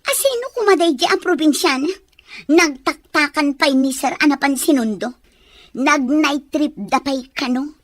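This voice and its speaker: A woman's voice: sits high, measured pace, dry recording. A woman with an Ilocano Filipino accent acting a jealous, snobbish young office woman in an audio drama. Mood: indignant.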